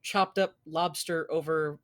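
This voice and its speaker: A man's voice: fairly high pitch, fairly even intonation, measured pace, fairly bright tone. A man hosting a casual podcast, chatting and recapping in a jokey, exclamatory way with some swearing. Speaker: podcast host. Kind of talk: casual chat with comedic show recap.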